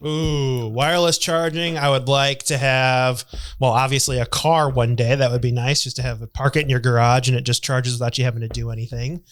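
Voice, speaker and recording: A man co-hosting a comedy podcast, talking in a jokey, indignant way with quick asides. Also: medium pitch, fairly even intonation. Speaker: podcast co-host. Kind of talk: comedic casual chat.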